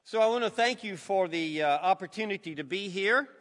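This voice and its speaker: An older man with a Southern American drawl gives a sermon, unhurried and folksy with plenty of fillers. His voice sits a little high and rings bright and forceful. A long reverb from the church hall trails each phrase. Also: animated. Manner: measured, folksy, with frequent fillers. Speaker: guest pastor. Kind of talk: sermon with scripture reading.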